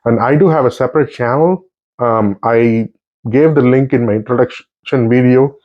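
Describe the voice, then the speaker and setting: A middle-aged man whose first language is Tamil, reviewing books in a casual, halting chat full of restarts and fillers. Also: medium pitch. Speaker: book reviewer. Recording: slightly dull lo-fi sound.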